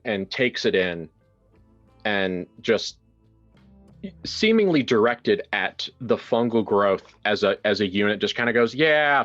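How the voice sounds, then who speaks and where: Low-voiced man narrating, slightly muffled. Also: a bit bright. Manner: casual, thinking aloud. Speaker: tabletop roleplay player. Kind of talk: improvised tabletop narration. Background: music.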